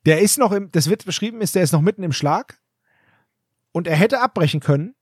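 A man's voice: sits high, animated, a little fast, husky, dry recording, clean studio sound. A man co-hosting a podcast, casually discussing an audio drama in an emphatic, opinionated, colloquial way. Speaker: podcast co-host.

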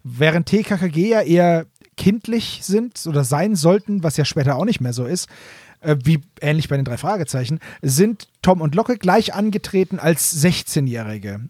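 A man's voice: fairly high pitch, lively intonation, moderate pace, darkish tone, slightly rough, no room sound, studio quality. A man, co-hosting a podcast and explaining things in casual chat. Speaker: podcast co-host.

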